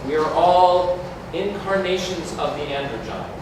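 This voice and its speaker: A grand, solemn officiant, played by a man proclaims mystical wedding vows in a staged masque. The voice is high and slow, incantatory, and carries from a distance through a very echoey hall. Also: expressive. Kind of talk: staged reading of a poetic masque. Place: community hall, live staged reading. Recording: very echoey hall from far off, noisy.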